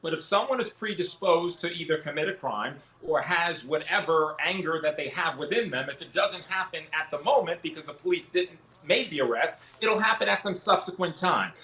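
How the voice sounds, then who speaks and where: Bright high-voiced man in a roomy space. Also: expressive, moderate pace, hardly any pauses. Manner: rhetorical questions answered by himself. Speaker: defense attorney, TV panelist. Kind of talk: legal commentary. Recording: roomy, echoing room sound.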